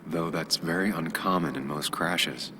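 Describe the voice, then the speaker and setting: A man's voice: a bass voice, a little slow, noisy background. An actor playing a brooding, guarded journalist in a video game, analytical and understated in his delivery. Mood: musing, suspicious. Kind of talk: video game voice acting with muttered inner monologue. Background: music.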